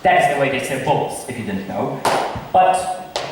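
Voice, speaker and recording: A young man playing a witty, teasing gentleman in amateur stage Shakespeare, recorded from far off in an echoey hall. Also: mid-range voice, sing-song, measured pace. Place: drama club stage in a large echoey room. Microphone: far camera. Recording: distant, echoey hall with noise.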